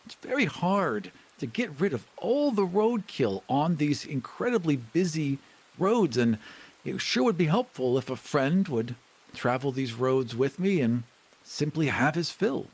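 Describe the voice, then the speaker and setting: Husky, animated man at a gaming table. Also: on the high side, unhurried. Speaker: tabletop role-playing player. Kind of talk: casual in-game banter and narration.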